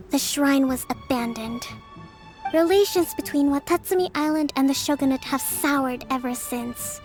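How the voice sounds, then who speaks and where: An actress voicing a gentle young island leader in an anime game, speaking in a formal, measured, diplomatic way. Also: female voice, high voice.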